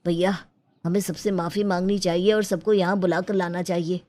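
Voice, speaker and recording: A woman's voice: lowish voice, fairly even intonation, normal speed, darkish tone, a little hiss or hum, close to an everyday voice. A woman voicing a troubled, apologetic village husband in a cartoon story, pleading earnestly. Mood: regretful, urging. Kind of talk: cartoon moral story dialogue.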